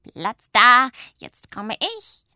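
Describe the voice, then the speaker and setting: A woman voicing a loud, bossy and mischievous witch who talks in a gruff, scolding way, with a breathy voice. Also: medium pitch, very animated, moderate pace, no echo, clean studio sound. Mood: rowdy.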